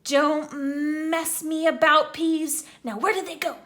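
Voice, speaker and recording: A woman's voice: sits high, steady tone, a little slow, fairly bright tone, voice pushed up. A woman voicing a grumpy old male caretaker who barks impatient demands.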